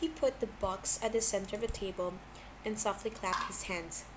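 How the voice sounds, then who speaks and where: A woman's voice: medium pitch, moderate pace. A woman narrating a story from a script as an amateur audiobook reader, now and then stumbling over words. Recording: clearly noisy amateur home recording.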